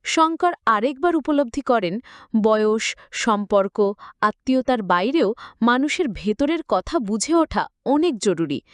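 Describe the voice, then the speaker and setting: Woman, story narrator, high voice, very expressive intonation, dry close sound. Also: a little slow, dry recording. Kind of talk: scripted narration of an emotional story.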